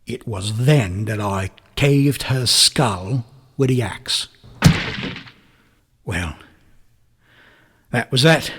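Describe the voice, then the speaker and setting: Radio drama: male actor as unravelling British man, bitter and darkly matter-of-fact, rambling monologue over soft music. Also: mid-range voice, lightly acted. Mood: cold, confiding. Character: bitter, unravelling British photographer recounting his crime. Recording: music under the voice.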